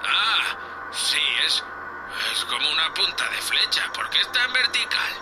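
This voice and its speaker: A man's voice: high-pitched, big pitch swings, a little slow, music in the background, rough recording. A man with a Castilian Spanish accent playing a nervous, deferential young spaceship crewman in a sci-fi audio drama. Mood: curious, straining. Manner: hesitant, anxious, deferential, full of questions.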